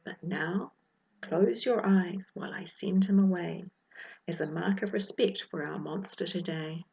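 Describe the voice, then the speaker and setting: A woman voicing a confident, bossy young girl detective in a children's story, brisk and take-charge. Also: fairly low pitch, vocal fry, mellow, highs cut off. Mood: assured.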